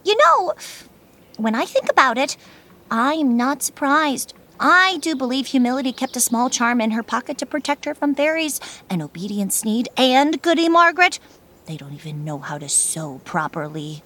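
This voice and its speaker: A woman's voice: high voice, big pitch swings, normal speed, sharp tone, exaggerated voice. A woman playing a self-righteous, nagging Puritan wife with an affected, fussy manner in a comic audio drama. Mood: falsely sweet. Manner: affected, falsely modest, fussy. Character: prim, self-righteous, nagging Puritan goodwife and social climber. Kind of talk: audio theater comedy acting.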